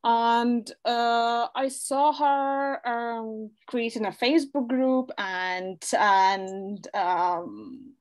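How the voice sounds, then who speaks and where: A woman's voice: a little high, very slow, hardly any pauses. Chatty young woman. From Poland. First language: Polish. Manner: chatty, laughs often, stumbles and restarts words. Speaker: guest, online English school owner. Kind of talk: interview testimonial.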